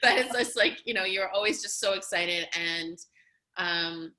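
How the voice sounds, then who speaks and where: Bright-voiced chatty woman host. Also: on the low side, a little slow. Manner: effusive, with many "you know" and "like" fillers. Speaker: host and coach. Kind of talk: conversational interview hosting.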